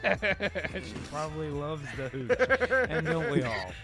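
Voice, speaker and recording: Higher-pitched man pitching ideas over music. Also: very animated, slow pace. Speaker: host of an improvised table-read show. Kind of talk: casual riffing with fellow performers.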